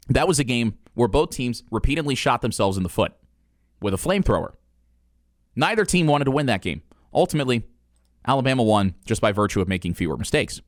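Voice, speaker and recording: Male sports talk host, lowish voice, emphatic and argumentative, dry close studio sound. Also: dry recording. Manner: emphatic, argumentative, repeats phrases for weight. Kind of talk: opinionated sports talk monologue.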